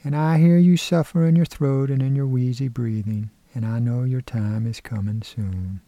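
A man's voice: mid-range voice, fairly even intonation, moderate pace, mellow, no echo, close to an everyday voice. A man voicing an elderly, pious great-aunt who rambles tenderly in a sing-song, churchy way at a sick child's bedside. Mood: wistful.

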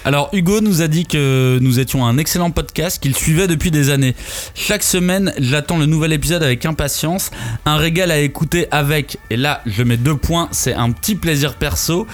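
A man's voice: fairly high pitch, normal speed. A young man on a podcast panel, talking casually, hesitant and teasing, often laughing. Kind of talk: casual chat.

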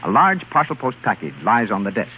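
A man's voice: mid-range voice, big pitch swings, brisk, slight background noise. A man announcing and narrating from a script in 1930s radio style. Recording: narrow, lo-fi 1930s radio broadcast. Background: music.

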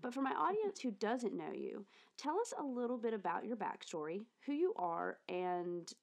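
A woman's voice: fairly high pitch, big pitch swings, normal speed, gravelly, a little mellow. Upbeat woman podcast host. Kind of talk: warm podcast interview and introduction.

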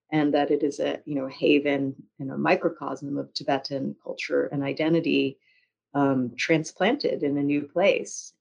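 A woman's voice: sits low, creaky, a little mellow. American woman in dull, lo-fi audio. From United States. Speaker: interview guest, journalist and author. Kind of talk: conversational storytelling.

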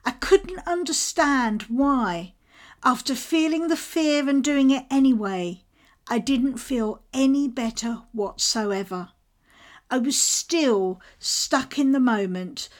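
Candid woman, slow and measured. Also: a little high. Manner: candid, measured, self-disclosing. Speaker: podcast host. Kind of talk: personal reflective monologue.

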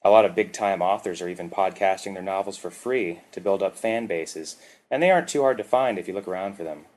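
A man's voice: sits low, brisk, a little mellow. A man hosting a podcast, introducing it with dry, offbeat humour. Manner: offbeat, dryly joking. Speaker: podcast host. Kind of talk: scripted podcast introduction and narration.

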